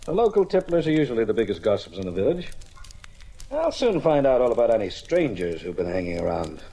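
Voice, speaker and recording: Radio detective: quick pace, husky voice, assured, slangy, teasing, breezy amateur sleuth. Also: male voice, medium pitch, sing-song, dark tone, heavily acted. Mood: confident, teasing. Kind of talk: old radio mystery drama acting.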